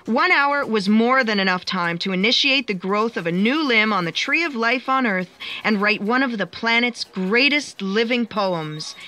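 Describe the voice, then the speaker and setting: A woman playing a wry, grandiose storyteller, narrating sci-fi audio theatre in a measured, poetic way. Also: medium pitch, sharp tone, rough recording.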